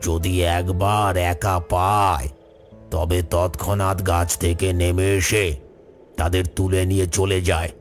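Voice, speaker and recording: A man playing a grave, kindly elderly village householder in an animated horror story, speaking slowly and solemnly. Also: deep voice, soft background music. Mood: grave warning. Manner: slow, solemn, drawn-out delivery.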